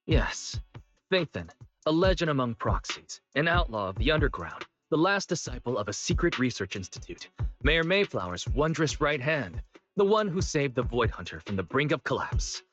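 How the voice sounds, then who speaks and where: An actor playing a cocky, theatrical young hero in a video game, with a playful manner. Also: male voice, medium pitch, sing-song, normal speed, a bit bright, highs cut off, faint music behind. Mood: playfully grandiose. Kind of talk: scripted video game voice acting.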